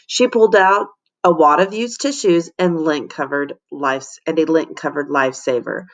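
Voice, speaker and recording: A woman's voice: sits low, moderate pace. Woman reading a children's storybook aloud. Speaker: teacher reading to students. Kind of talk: expressive storybook read-aloud.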